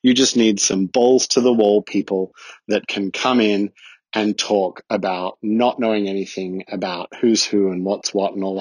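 An Australian man leaving a rambling, excited voice message for a podcast. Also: on the low side, no room sound, poor audio. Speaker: listener sending an audio clip. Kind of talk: recorded voice message.

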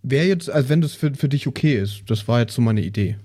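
A man's voice: mid-range voice, animated, fast talker, warm tone. A man in casual discussion, explaining his ideas tentatively as a tabletop role-playing player on a podcast.

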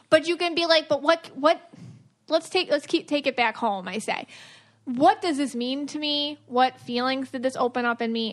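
A woman co-hosts a podcast in loose, casual conversation. Her voice sits a little high. She talks in a rambling way, full of fillers and quick laughs. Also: fairly bright tone. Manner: chatty, many fillers and laughs. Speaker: podcast co-host. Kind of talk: casual chat.

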